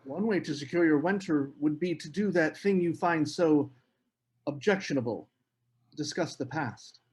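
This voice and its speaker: A man reading a charming, evasive young author at a table read, courteous and smooth. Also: fairly high pitch, normal speed, a little mellow, natural voice.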